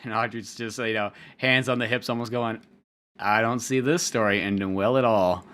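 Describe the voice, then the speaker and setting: Young adult male co-host, forceful bright tone, slangy casual read-along banter, quick to laugh and mimic. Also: lowish voice, fairly even intonation, a little hiss or hum. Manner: laughs often, acts out lines and sound effects; slangy and profane. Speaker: podcast co-host and comic creator. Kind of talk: casual chat, animated comic read-along commentary.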